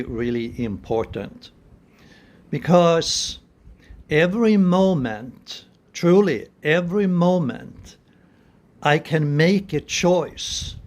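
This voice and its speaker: Man in his seventies, unhurried pace, slightly high pitch, earnest, pausing, reflective spiritual talk, phone recording indoors. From Sweden. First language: Swedish. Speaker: spiritual vlogger. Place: small room indoors. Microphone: phone at arm's length.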